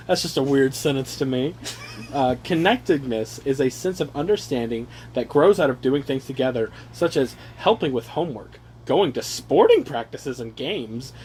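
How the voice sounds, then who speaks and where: A man casually reading aloud and riffing on the text with sarcastic, profane, animated asides, on an amateur home recording. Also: on the high side, slight background noise, low-quality recording. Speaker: reader riffing on a handbook aloud to his brothers. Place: casual room with brothers chiming in.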